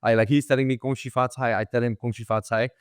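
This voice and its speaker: A man's voice: medium pitch, flat delivery, rapid-fire, smooth, dry recording, studio quality. A young man who grew up in the Netherlands, hosting a casual interview podcast, with loose talk full of repeated words and "bro". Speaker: podcast host.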